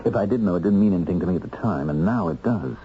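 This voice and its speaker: A low-voiced man, an earnest ex-convict husband in a radio play, measured and wry with small hesitations. The old recording is dull and a little noisy. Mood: earnest, intent. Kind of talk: radio drama acting.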